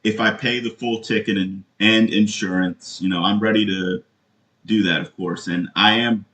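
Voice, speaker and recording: A man calling as a customer, asking questions in a halting, earnest and insistent way. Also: on the low side, steady tone, a bit bright. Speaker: caller, prospective customer. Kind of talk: phone inquiry, questioning. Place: indoor room, phone call. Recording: roomy echo on a recorded call.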